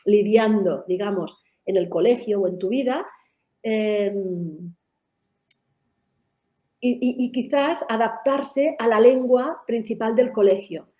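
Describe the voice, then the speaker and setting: Middle-aged woman giving a webinar talk, kinda high pitch, warm mellow tone, lots of "no?" tag questions. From Catalonia. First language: Catalan. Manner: explanatory, with frequent tag questions and restarts. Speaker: method founder presenting in a webinar. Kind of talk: webinar presentation.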